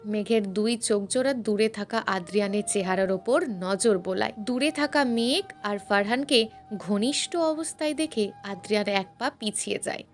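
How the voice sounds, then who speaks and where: A woman reading a scripted story as a narrator, with dramatic, emotive delivery. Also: medium pitch. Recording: music bed under the narration. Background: background music.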